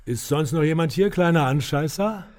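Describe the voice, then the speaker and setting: An actor in a radio drama playing a menacing, condescending clinic director who speaks deliberately and with revolutionary zeal. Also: male voice, fairly high pitch, lively intonation, a little mellow, lightly acted. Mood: taunting. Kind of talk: German radio drama acting.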